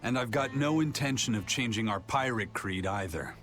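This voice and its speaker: An actor playing a stern, principled pirate in a video game, terse and blunt, with music clearly underneath. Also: male voice, medium pitch, normal speed, close to an everyday voice. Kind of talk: English video game dub acting.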